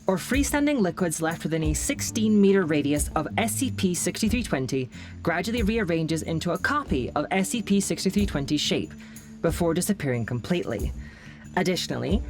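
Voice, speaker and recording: A woman's voice: on the low side, darkish tone. An actress playing a businesslike woman of a secretive research organization in a scripted audio drama.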